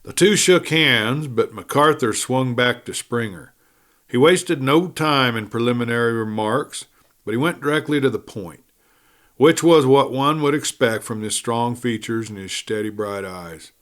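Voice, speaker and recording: A man's voice: mid-range voice, unhurried, fairly bright tone. A man reading a western story aloud in a folksy storytelling manner, with rustic wording. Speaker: audiobook reader.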